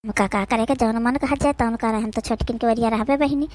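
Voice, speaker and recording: A woman's voice: fairly high pitch, fairly even intonation, brisk, darkish tone, no room sound. A woman with an Awadhi accent acting out a rural family drama for a cartoon in colloquial, complaining village speech. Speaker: cartoon voice performer.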